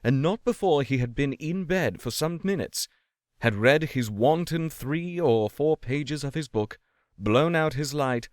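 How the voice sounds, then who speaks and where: A man giving a measured, expressive reading of a classic ghost story as a scripted narration. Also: fairly high pitch, no echo, studio quality. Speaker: audiobook-style story reader.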